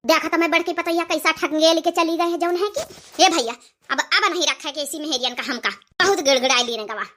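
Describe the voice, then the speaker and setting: An old village mother-in-law acted by a woman speaks in an extremely high, bright cartoon voice. She has an Awadhi accent and an honour-minded, plaintive, dramatic way of talking. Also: fairly quick. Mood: resigned. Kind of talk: comic village cartoon dialogue.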